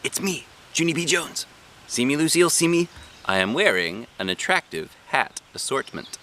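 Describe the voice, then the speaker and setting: Storybook role: man as a stubborn, dramatic little schoolgirl, pitched up, bright and animated, childishly emphatic. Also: moderate pace, slight background noise. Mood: proudly cheerful.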